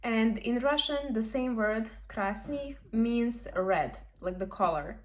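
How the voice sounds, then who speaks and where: A young woman talks through language points in a friendly, thoughtful way. Her voice sits a little high. She pauses with ums and corrects herself as she goes, like someone chatting to camera. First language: Serbian. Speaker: language vlogger. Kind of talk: explaining to camera.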